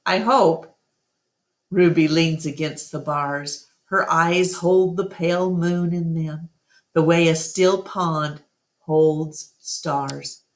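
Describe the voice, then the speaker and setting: A woman narrating a children's book aloud as an expressive storytime reader. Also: sits low, slow.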